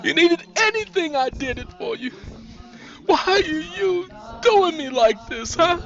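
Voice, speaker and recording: A man's voice: sits high, very animated, takes it slowly, a bit bright, far from the mic, pitched above the performer's own voice, full character voice. A man voicing a frightened, desperate woman who pleads urgently in a dramatic story. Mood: desperate, pleading. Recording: dull lo-fi recording with music.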